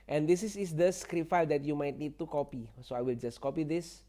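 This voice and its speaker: Higher-voiced man giving a tech tutorial. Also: brisk, dark tone. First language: not English. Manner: repetitive, seeks agreement with "okay" and "right". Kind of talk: informal spoken software walkthrough.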